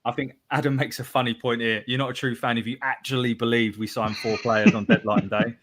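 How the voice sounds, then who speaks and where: A man's voice: medium pitch, fairly even intonation, brisk, a bit bright, a little hiss or hum. A man hosting a live football podcast, reading out listener messages with a laugh. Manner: upbeat, reads out chat messages with laughs. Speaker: podcast host.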